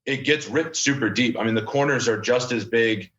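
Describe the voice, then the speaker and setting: A man's voice: medium pitch, moderate pace, fairly bright tone, lo-fi sound, a little hiss or hum. A man in his thirties, a podcast guest, talking casually and rambling with lots of fillers and restarts. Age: 30s. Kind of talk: casual chat.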